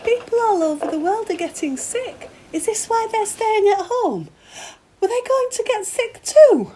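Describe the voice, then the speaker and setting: A woman's voice: extremely high pitch, very animated, a little slow, dark tone, heavily acted, low-quality recording. A woman voicing a curious, puzzled young schoolgirl in a children's storybook. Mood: concerned. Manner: questioning, puzzled. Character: curious young schoolgirl questioning her father. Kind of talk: read-aloud children's storybook dialogue.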